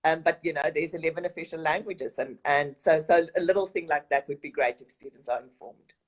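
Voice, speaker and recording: A middle-aged woman with a South African accent and a deep, low-set voice. Afrikaans is her mother tongue, and she answers questions haltingly, full of fillers and false starts. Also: breathy edge, rough recording. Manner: hesitant, many fillers and restarts. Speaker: resident director of study abroad program. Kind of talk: interview answers.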